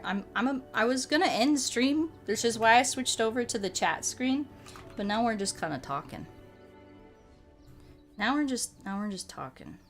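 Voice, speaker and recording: A woman livestreamer chatting casually in a playful manner, often repeating her phrases for comic effect. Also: a little high. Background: background music.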